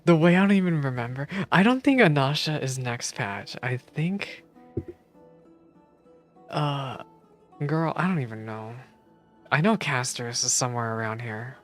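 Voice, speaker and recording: A live streamer reacts to a game in loose, casual chatter. The voice sits at a middle pitch and rambles as it thinks aloud, with faint game music behind it. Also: lively intonation, measured pace, long pauses.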